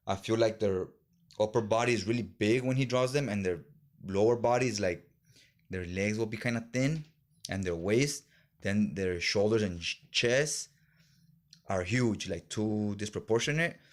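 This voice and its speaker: A man's voice: on the low side, measured pace. A man chatting casually in slangy, informal fan talk as he reviews things for a vlog. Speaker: comic book haul reviewer.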